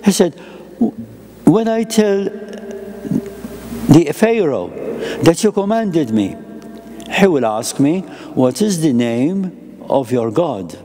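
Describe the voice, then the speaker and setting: An elderly priest delivers a sermon from a lectern in a chapel, with slow, deliberate phrasing and a melodic rise and fall. His voice is mellow and somewhat high for a man, and a long church reverb trails behind it. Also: big pitch swings, slight background noise. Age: older. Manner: slow, deliberate phrasing in short measured pieces. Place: chapel with an icon and a lectern, indoors. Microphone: lectern microphone.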